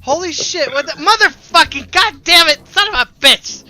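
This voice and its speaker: A man's voice: very high-pitched, animated, moderate pace, sharp tone, a little hiss or hum. A man chatting casually as he streams a game, swearing and exclaiming freely. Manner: profane, exclamatory. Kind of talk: casual chat while gaming. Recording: music behind the voice. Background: music.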